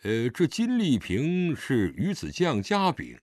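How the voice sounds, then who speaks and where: Man playing long-winded, halting servant cook. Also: mid-range voice, wildly swooping pitch, darkish tone, no echo, clean studio sound. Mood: eager explaining. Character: chatty servant cook named Old Zhang, eagerly explaining dishes.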